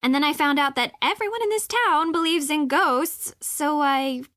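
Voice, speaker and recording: A sardonic young sidekick in a video game. Her voice is on the high side, casual and teasing, with a sceptical edge. It is recorded very dry. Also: normal speed, a bit bright, dry recording. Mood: exasperated, casual. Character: sardonic, sceptical young woman, detective's wisecracking friend.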